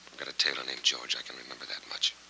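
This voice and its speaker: Deep, hard-edged haunted noir veteran. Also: male voice, completely flat delivery, rapid-fire, gravelly, bright, forceful tone, full character voice. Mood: bitter, haunted. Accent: American. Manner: terse, clipped, hard-boiled. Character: haunted amnesiac war veteran, tense, bitter, weary man. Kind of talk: 1940s film noir acting. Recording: faintly noisy 1940s film soundtrack.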